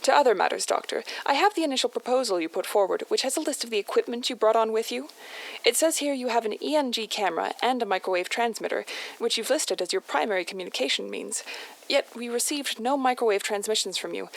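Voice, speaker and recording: Woman acting a composed, creaky-voiced agency handler. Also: a little high, rough recording. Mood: businesslike. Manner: official, measured, politely reprimanding. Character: formal, composed female handler at secretive agency. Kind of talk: audio drama scripted radio message.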